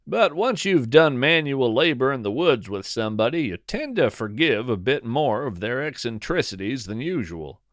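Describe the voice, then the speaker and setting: A man narrating a scripted first-person story as a podcast host, in a whimsical, deliberate and dryly playful manner. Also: mid-range voice, no echo, studio quality.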